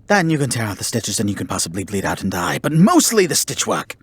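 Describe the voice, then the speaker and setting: A man playing a haughty, grudgingly caring male kitsune in an ASMR roleplay, speaking formally and theatrically with a breathy, husky voice. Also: on the low side, very animated, quick pace, dry recording, exaggerated voice. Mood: matter-of-fact, fussy. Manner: formal, theatrical, exasperated scolding. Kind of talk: ASMR roleplay acting, addressing the listener.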